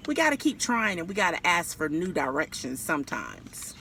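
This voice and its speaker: A woman's voice: fairly low pitch, big pitch swings, normal speed, bright, forceful tone. A woman reading a children's story aloud outdoors, theatrical and speaking directly to young listeners. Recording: clear background noise, outdoors.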